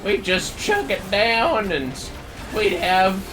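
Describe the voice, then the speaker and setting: A man's voice: sits high, very animated, slow, pitched above the performer's own voice, heavily acted. A man voicing a grumbling, nostalgic old-timer in an exaggerated, indignant manner during a game stream. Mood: nostalgic, boastful. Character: grumbling nostalgic old-timer reminiscing about tougher days.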